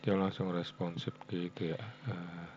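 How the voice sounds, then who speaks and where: A man's voice: deep voice, monotone, a little slow, a little mellow. A man thinking aloud through a casual screen-share tutorial, addressing his viewers informally as friends. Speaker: web design tutorial host. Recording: dull lo-fi mic, background hum.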